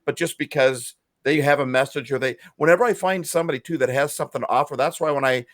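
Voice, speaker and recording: A man's voice: medium pitch, fast talker, fairly bright tone, no room sound, studio quality. A man co-hosting a podcast over a video call, talking earnestly and emotionally about helping people. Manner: earnest, emotional, self-described feeler. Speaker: podcast co-host. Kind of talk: casual video-call chat.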